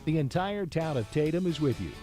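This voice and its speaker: A man's voice: fairly high pitch, lively intonation, a little fast, dark tone. A man reading a scripted radio sponsor advertisement. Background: plucked guitar music.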